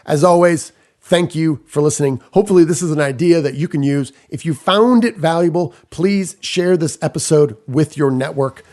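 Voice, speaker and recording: Emphatic male podcast host, higher-pitched voice. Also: normal speed, a little mellow. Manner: rhetorical questions, emphatic short phrases. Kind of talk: scripted solo business commentary.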